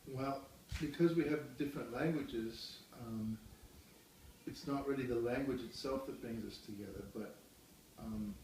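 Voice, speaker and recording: A middle-aged man calmly explaining things to his child during a home interview, restarting phrases as he thinks. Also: medium pitch, a little hiss or hum. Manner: calm, explanatory, gently correcting himself. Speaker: interviewee, parent. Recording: echoey room, mic far off.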